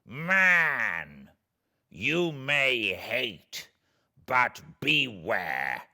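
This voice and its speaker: A man voicing a vengeful, wretched monster in a classic novel reading, declaiming eloquent threats in drawn-out words. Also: mid-range voice, sing-song, bright, forceful tone, pitched above the performer's own voice, heavily acted. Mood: menacing, vengeful.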